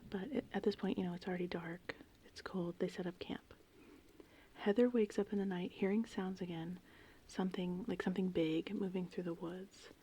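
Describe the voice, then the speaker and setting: A woman speaking in a breathy, hushed whisper as an ASMR host, rambling informally through a film recap. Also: fairly low pitch, fairly even intonation, normal speed. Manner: informal, rambling, conversational retelling. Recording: faint background noise, rain and thunder ambience. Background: gentle rain and thunderstorm ambience.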